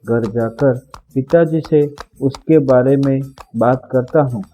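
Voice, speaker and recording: Man as a slow-talking, smitten young man. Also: medium pitch, mellow, soft background music, lightly acted. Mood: smitten wonder. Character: smitten young landlord's son, polite. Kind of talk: Hindi cartoon story dialogue.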